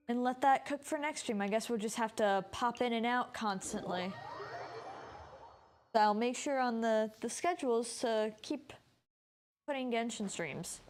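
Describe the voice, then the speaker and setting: A woman giving casual game livestream commentary, informal and thinking aloud. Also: on the high side. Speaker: game streamer. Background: music.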